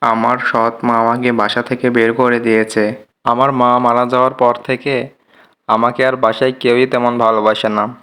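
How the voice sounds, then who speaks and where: A man's voice: medium pitch, level tone, moderate pace, a bit bright, smooth, natural voice. A man voicing a meek, plaintive young village boy in a fairy-tale cartoon. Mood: sad. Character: gentle, sad young village boy, motherless and dutiful.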